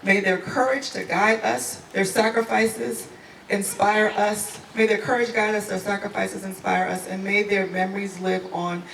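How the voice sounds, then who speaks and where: Gravelly, sharp-toned memorial speaker, measured, distant and echoey. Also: mid-range voice, steady tone. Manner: solemn, measured delivery. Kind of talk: formal speech, then banter. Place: outdoor memorial ceremony. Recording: echoey, distant, with crowd and noise.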